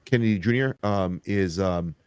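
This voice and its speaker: A middle-aged man hosting a podcast, talking in a rambling, agreeable way with frequent pauses and restarts. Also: low voice, clear, resonant tone, no room sound. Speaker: podcast host. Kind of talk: conversational interview.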